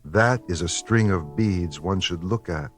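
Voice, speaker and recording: A man reading spiritual poetry in a contemplative, measured and deliberate way, with pauses between phrases. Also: low voice. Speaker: poetry reader. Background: soft background music.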